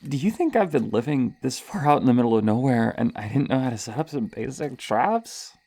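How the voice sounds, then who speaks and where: A woman's voice: very deep voice, lively intonation, a little fast, warm tone, no echo, full character voice. A woman playing a sadistic, playful serial killer in an ASMR horror roleplay, teasing and menacing, with a hesitant stammer and drops into whispers. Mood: smug amusement.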